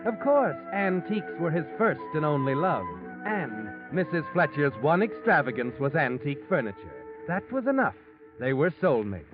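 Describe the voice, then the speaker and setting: An actor playing a mysterious, knowing storyteller in 1940s radio drama, addressing the schemer in an insinuating, ominous way. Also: male voice, a little high, big pitch swings, normal speed. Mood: sly, knowing. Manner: insinuating, second-person taunting. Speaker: narrator of a mystery anthology. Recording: tinny phone-like vintage radio, music behind. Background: music.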